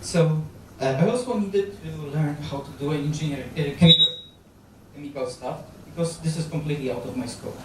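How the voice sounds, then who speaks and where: A Polish-accented man in his thirties gives a public lecture on stage, pausing often and restarting his phrases. His voice sits fairly high and rings through a very echoey hall. The sound is picked up from a distance over a clear background hum. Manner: halting, with frequent fillers, restarts and gestures. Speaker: guest lecturer on astronaut training. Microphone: handheld microphone. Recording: very echoey hall, captured from far off.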